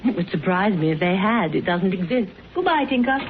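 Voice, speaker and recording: A woman's voice: medium pitch, expressive, brisk, narrow, tinny audio, close to an everyday voice. A thin, faintly noisy old radio recording carries an actress as a woman working alongside a detective. She is arch and sure of herself, swinging her intonation with playful, theatrical sarcasm. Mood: mock-grand, pert. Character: pert, self-assured, playfully sarcastic female detective's colleague. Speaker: actress playing the detective's colleague. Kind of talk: classic radio drama acting.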